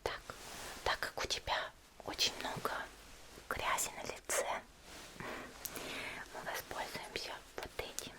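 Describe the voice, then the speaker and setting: A woman playing a cheerful, caring young female warrior in an ASMR spa roleplay, whispering gently and chattily without hurry. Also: close to an everyday voice, rough recording. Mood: gentle, explanatory. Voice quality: breathy unvoiced whisper. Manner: gentle, unhurried, chatty, soft hesitations.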